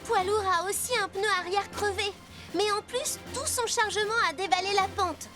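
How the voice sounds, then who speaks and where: A woman voicing a caring young female rescue helper and announcer in a dubbed children's cartoon, speaking out clearly. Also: extremely high pitch, moderate pace. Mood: concerned. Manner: clear announcing and urgent calling out. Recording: very loud music behind the voice.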